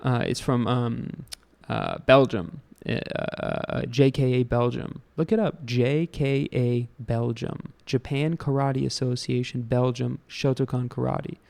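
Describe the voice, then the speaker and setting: A man's voice: medium pitch, steady tone, slow, vocal fry, hardly any pauses, a little mellow. A young American man hosting his own show, rambling casually with lots of fillers, restarts and swearing. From United States. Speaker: show host. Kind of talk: casual solo rant.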